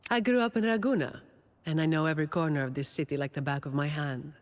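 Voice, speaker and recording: Game role: weary young female office troubleshooter, woman actor, lowish pitch, dry deadpan delivery, reverberant sound. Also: fast talker, natural voice. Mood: content, wistful. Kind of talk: scripted game character monologue.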